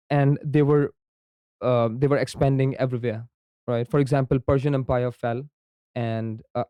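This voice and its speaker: Young pakistani man, urdu native, mellow voice, smooth tone, dry close mic, podcast guest, lots of ums and "right?". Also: mid-range voice, no echo. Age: young adult. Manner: frequent ums and repeated "right?" tags. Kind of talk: conversational explanation.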